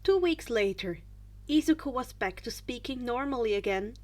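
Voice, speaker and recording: A woman reading fan fiction aloud as an expressive story narrator. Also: on the high side, moderate pace.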